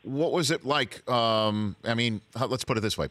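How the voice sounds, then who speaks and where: A man's voice: medium pitch, moderate pace, a bit bright, dry recording. A man hosting a sports talk show, interviewing a guest in a conversational, teasing way.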